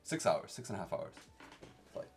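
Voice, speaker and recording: A man's voice: low-pitched, big pitch swings, moderate pace, faint music behind. Chatty young man streaming from home. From Canada. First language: Korean. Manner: chatty, reads chat aloud, playfully defensive. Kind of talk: casual live-stream chat with viewers.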